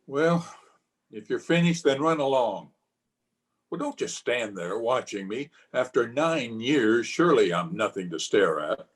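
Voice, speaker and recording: Exasperated husband, theatrical radio-drama style, very lively medium-pitched voice, male actor. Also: measured pace. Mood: irritable. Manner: testy, theatrical. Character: exasperated, fond husband of nine years, sparring with the maid. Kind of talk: old-time radio drama acting. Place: at home, recorded over video call.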